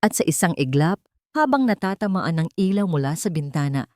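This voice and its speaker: Female narrator, lower register, scripted romantic drama storytelling, close dry sound. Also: sing-song, a little fast, darkish tone, dry recording.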